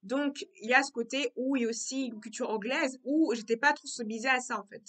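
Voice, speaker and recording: A young woman talks through her thoughts in a relaxed vlog monologue. Her voice sits a little high and sounds bright and clear. She keeps restarting and repeating phrases as she thinks aloud. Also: fast. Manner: repetitive, circling back on her words. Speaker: vlogger. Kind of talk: casual reflective monologue.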